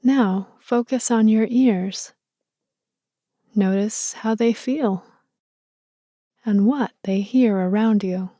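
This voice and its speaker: A woman leading a guided meditation in a slow, soothing and gentle way. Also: mid-range voice, no echo, clean studio sound.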